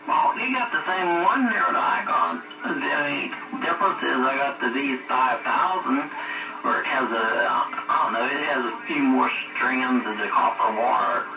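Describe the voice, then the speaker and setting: A man's voice: medium pitch, expressive, normal speed. A man chatting easily over CB radio, folksy and joking. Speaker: CB radio operator. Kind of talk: casual radio chat. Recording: distant echoey CB radio with music behind.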